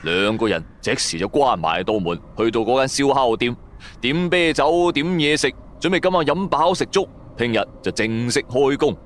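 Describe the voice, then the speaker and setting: 粵語男聲講故，抑揚起伏好大. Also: male voice, medium pitch, normal speed, a little hiss or hum. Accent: Cantonese. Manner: colloquial, expressive. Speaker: storyteller. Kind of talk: dramatic scripted first-person narration.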